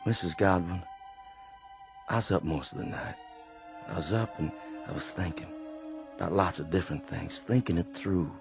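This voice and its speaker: An actor playing a weary, quiet Confederate sergeant in a radio drama, speaking slowly and earnestly and often repeating words as he searches for them. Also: sits low. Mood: musing. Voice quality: gravelly. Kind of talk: old-time radio drama acting. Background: faint underscore music.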